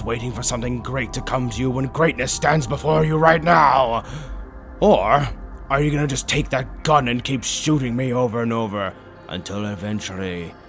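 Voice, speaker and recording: Music plays behind a slow-talking male demon, a flamboyant and sinister tempter. He addresses the listener in a roleplay monologue, stretching words for theatrical emphasis. His delivery is taunting, at an ordinary male pitch. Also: vocal fry. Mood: seductive taunting, then menacing. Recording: background music under the voice. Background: orchestral waltz-style music.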